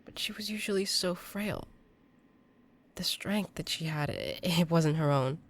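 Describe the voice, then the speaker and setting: An actress playing an earnest young female exorcist in an audio drama, halting and emotional. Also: low-pitched, slight background noise. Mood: troubled, halting. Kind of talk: intimate audio drama acting.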